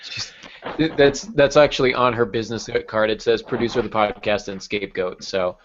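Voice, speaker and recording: A man's voice: on the low side, moderate pace, slight background noise. A man hosting a podcast, casually interviewing and building his questions in a rambling, tentative way. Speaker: podcast host. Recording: dull lo-fi podcast audio.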